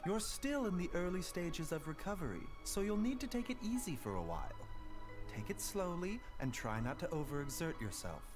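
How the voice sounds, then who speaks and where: An actor playing a gentle, secretive young doctor in a video game, speaking politely in measured, formal phrases. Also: male voice, on the high side, very animated, music in the background. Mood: courteous, composed. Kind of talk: scripted video game voice acting.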